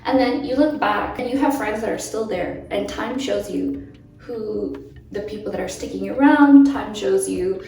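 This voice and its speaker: Croaky young woman, roomy echo. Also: mid-range voice, unhurried, distant mic. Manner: earnest, encouraging, musing. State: croaky from little sleep. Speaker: lifestyle vlogger. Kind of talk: reflective talk to camera. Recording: echoey, from off the mic, soft music.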